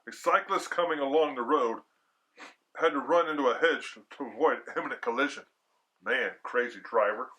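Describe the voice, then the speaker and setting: A man's voice: mid-range voice, normal speed, bright, forceful tone, low-quality recording. A man reading a story aloud theatrically as a storyteller, with casual, slangy, chatty asides. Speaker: storyteller, horror show host.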